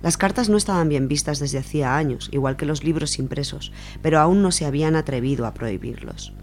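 A woman's voice: deep voice, a little fast, darkish tone, a little hiss or hum. A woman with an accent from Spain reading a literary short story aloud as a radio storyteller. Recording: music behind the voice.

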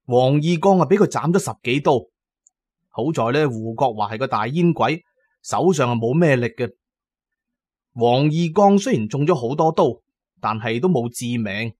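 A man with a Cantonese accent telling a story in a colloquial, expressive, dramatic narrating style. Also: mid-range voice, no echo.

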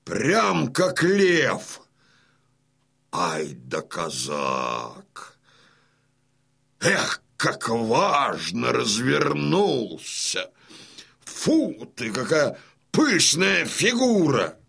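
An actor playing a proud, boisterous old Cossack father in a theatrical radio play, declaiming with a gravelly voice. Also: medium pitch, huge pitch swings, drawn-out words, long pauses, pushed and bright, heavily acted. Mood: rousing, admiring. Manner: declamatory, swinging from brooding murmur to hearty bellow. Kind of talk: theatrical audio drama acting.

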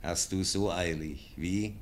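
A man's voice: deep voice, slow. An actor playing an old, dying nobleman who solemnly pleads with his nephew, in a classic radio play. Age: older. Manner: solemn, pleading, swings from loud to hushed.

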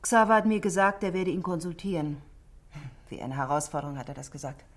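A lowish-voiced, guarded married woman. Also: expressive, a little fast. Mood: earnest, worried. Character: composed, talkative married saleswoman confiding worriedly about her husband. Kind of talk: 1960s German radio play acting.

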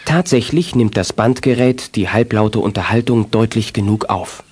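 A man's voice: fairly low pitch, moderate pace, a little mellow, a little hiss or hum, close to an everyday voice. A man narrating a scripted German children's detective radio play as its storyteller. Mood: scene-setting.

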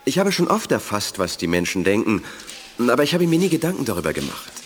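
An actor playing a modest, polite bank clerk in a German audio drama, speaking in a matter-of-fact way. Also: male voice, lowish voice, big pitch swings, fast talker. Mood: thoughtful.

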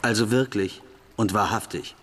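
An actor playing a rough, bullying thirteen-year-old gang leader in a radio play, curt and challenging. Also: male voice, lowish voice, moderate pace, fairly bright tone, long reverb tail, slight background noise, close to an everyday voice. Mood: menacing.

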